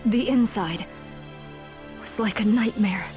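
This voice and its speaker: A woman's voice: medium pitch, takes it slowly, close to an everyday voice. An actress voicing an earnest young woman of a guild who formally begs for help. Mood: urgent. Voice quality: formal, polite. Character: young female guild member, earnest and pleading. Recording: game cutscene with music underneath.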